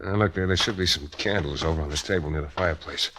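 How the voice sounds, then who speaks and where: A man's voice: deep voice, fast talker, close to an everyday voice. An actor playing a tough, wry private detective who narrates his own case in a clipped 1940s radio style. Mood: wary, wry. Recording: noisy vintage radio recording.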